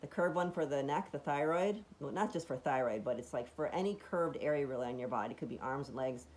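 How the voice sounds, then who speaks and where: A woman with a raspy voice that sits very low talks casually at home. She meanders through her thoughts with plenty of fillers and restarts, sounding eager and impressed. A faint hiss of noise sits under her voice. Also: animated, quick pace, a little mellow. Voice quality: raspy, deeper voice. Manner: chatty and meandering, many fillers and restarts, enthusiastic. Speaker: vlogger demonstrating a device. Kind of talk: casual home demonstration vlog.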